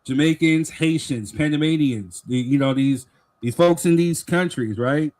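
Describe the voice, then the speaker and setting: A man's voice: fairly high pitch, measured pace, a little mellow. A man co-hosting a live stream talk show, explaining his view earnestly in a casual debate. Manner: earnest, explains with "you know what I'm saying".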